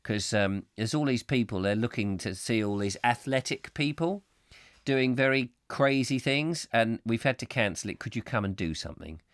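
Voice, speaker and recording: A slightly hoarse man hosting a comic live chat show, rambling whimsically and mocking himself as he reads out viewer comments. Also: mid-range voice, animated, moderate pace, no room sound, clean studio sound. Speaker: host.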